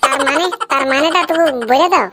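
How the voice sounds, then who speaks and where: A woman's voice: high voice, steady tone, a little fast, pushed and bright, faint music behind. A woman voicing a nosy, teasing groom's sidekick in a comedy cartoon, chatty and questioning. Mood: teasing, curious.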